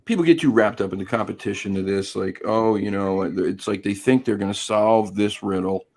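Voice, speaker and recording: A man with a low, gravelly voice hosts a live-stream chat, his throat hoarse and worn. He talks in a friendly, rambling way and calls out viewers by name. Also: moderate pace, a little mellow. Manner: chatty, greets viewers by name.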